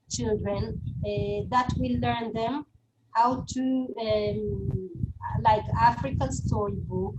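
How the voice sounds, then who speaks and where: A woman with a Hebrew accent lectures in English, her second language, in a fairly high voice. She talks in a hesitant way, full of fillers and restarts. The webinar recording carries a clear layer of background noise.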